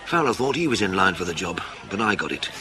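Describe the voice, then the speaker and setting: An actor playing a blunt, wry British intelligence chief in a radio spy drama, clipped and matter-of-fact, with colloquial phrasing. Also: male voice, deep voice, sing-song, fast talker, bright, forceful tone, heavily acted. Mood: dry, wry.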